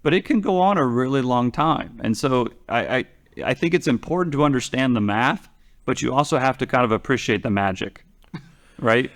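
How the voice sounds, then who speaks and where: A man's voice: medium pitch, moderate pace, a bit bright. A man speaking as a podcast commentator, explaining things conversationally in a rambling way, often restarting and repeating phrases for emphasis.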